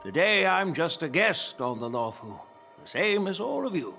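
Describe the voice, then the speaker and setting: A man's voice: a little high, sing-song, normal speed, creaky, sharp tone, heavily acted. An actor playing a genial, easygoing elderly male general who chuckles and avoids taking sides, in a game cutscene. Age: older. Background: background music.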